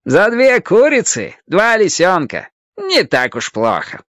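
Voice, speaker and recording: A man's voice: high-pitched, huge pitch swings, takes it slowly, fairly bright tone, no echo, clean studio sound, pitched above the performer's own voice, exaggerated voice. A man voicing a short, self-satisfied, thrifty hunter in a radio story.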